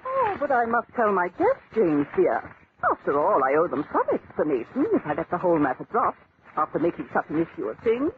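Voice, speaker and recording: An actress playing a haughty, scheming middle-aged aristocrat, imperious and affectedly genteel, in old-time radio drama style. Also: female voice, medium pitch, wildly swooping pitch, brisk. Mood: scornful. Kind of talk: 1940s radio acting. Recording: tinny, noisy old-time radio recording.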